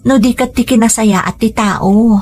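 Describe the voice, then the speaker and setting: A woman playing a kind, polite young woman in a radio drama, speaking gently with an Ilocano accent. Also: fairly high pitch, moderate pace, a little hiss or hum, natural voice. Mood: earnest.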